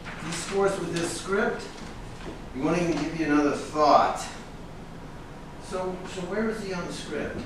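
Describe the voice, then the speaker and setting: A man's voice: a little high, a little slow, long pauses, close to an everyday voice. An actor playing a blunt, protective longtime friend of a troubled man in a staged play reading. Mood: confronting. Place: echoey indoor room at a staged reading. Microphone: distant microphone. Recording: very echoey, distant, noisy room.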